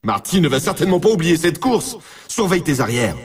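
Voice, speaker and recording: An excitable snowboard race announcer for a video game. His voice sits high and swings widely in pitch, heard from a little way off the mic. He is emphatic and familiar, needling and warning the player like a hyped sports commentator. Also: brisk, recorded from a distance. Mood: teasing warning.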